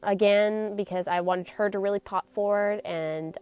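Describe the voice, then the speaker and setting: A woman's voice: mid-range voice, fairly even intonation, normal speed, darkish tone, smooth. A young woman with a nasal voice from a cold, chattily narrating her painting process in a casual voice-over and filling her talk with likes and ums. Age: young adult. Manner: chatty, many fillers like "like" and "um". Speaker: watercolor artist narrating her speedpaint.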